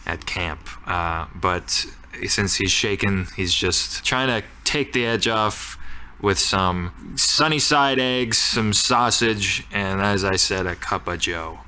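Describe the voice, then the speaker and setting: A man's voice: fairly low pitch, slow pace, bright, forceful tone, a little hiss or hum. A man casually improvising a character description as a player in a tabletop roleplaying game.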